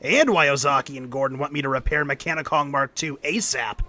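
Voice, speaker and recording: A man's voice: mid-range voice, brisk, bright, forceful tone, lightly acted. A man acting as a dry, skeptical colleague in an audio drama. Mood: dry, casual.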